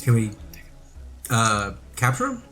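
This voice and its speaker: A man's voice: mid-range voice, huge pitch swings, slow pace. Male tabletop player, mid-range voice, casual table chat, faint music underneath.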